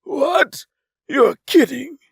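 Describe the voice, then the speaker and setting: A man voicing a pompous, arrogant male alien with a grandiose, drawn-out, mocking manner. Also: extremely high pitch, animated, vocal fry, no echo, studio quality, pitched above the performer's own voice, heavily acted.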